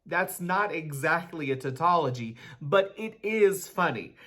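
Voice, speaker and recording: A woman's voice: deep voice, animated, unhurried, a bit bright. A woman playing a brainy, awkward schoolboy in a dramatic reading, speaking formally and pedantically. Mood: formal, matter-of-fact. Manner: pedantic, precise, formal wording.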